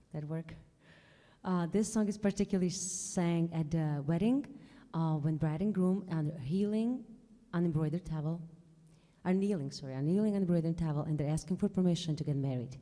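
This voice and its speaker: A woman's voice: on the low side, brisk, warm tone. A woman from eastern Slovakia whose first language is Carpatho-Russian, introducing songs from a stage in careful, plain speech. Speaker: guest singer. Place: large concert hall stage. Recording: long hall reverb.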